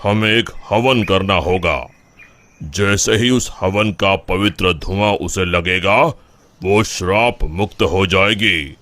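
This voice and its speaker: A man playing a calm village temple priest in a cartoon story, speaking in a measured, solemn, polite way. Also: low voice, no room sound. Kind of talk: Hindi cartoon story dialogue. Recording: faint background noise.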